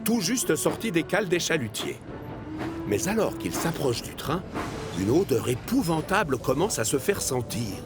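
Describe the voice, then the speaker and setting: A man reading scripted French narration for a children's cartoon, expressive, as if telling kids a story. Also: fairly high pitch, normal speed. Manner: expressive, addressing children directly. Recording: music behind the voice.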